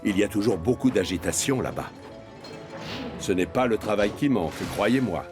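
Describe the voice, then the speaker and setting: A man's voice: lowish voice, lively intonation, normal speed. Male children's cartoon narrator over music. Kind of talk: children's storybook narration, scripted.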